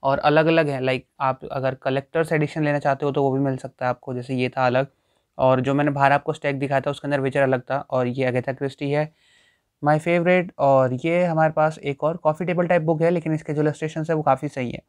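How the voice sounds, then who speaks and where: A man's voice: fairly high pitch, fairly even intonation, brisk, smooth, no echo, studio quality. A young man casually walking through a bookstore, chatting and thinking aloud as he browses the shelves. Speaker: booktuber. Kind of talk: casual walkthrough vlog. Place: small indoor bookstore with packed shelves.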